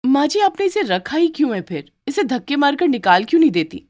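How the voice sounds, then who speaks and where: A woman voicing a spoiled, arrogant young daughter-in-law in a cartoon story, high and demanding. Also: lively intonation, normal speed, dry recording, clean studio sound, lightly acted. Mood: angry. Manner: high, demanding, sugary to elders, sharp to the maid.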